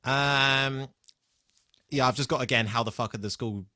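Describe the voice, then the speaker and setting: A man's voice: medium pitch, fairly even intonation, normal speed, pushed and bright, no room sound, studio quality. A man co-hosting a podcast, chatting casually and off the cuff with wry, sweary banter. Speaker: podcast co-host. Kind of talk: casual unscripted TV re-watch chat.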